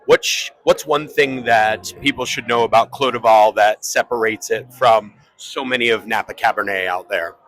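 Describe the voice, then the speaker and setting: A man's voice: fairly low pitch, normal speed, hardly any pauses, fairly bright tone, low-quality recording. A man hosting a podcast, chatting with winemakers on site at a wine tasting with upbeat questions. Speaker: podcast host. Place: busy indoor tasting event.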